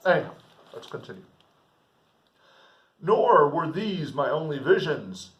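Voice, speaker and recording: A man reading classic literature aloud like a teacher, with informal explanatory asides and an expressive manner. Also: fairly high pitch, unhurried, muffled recording. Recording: roomy echo, distant microphone.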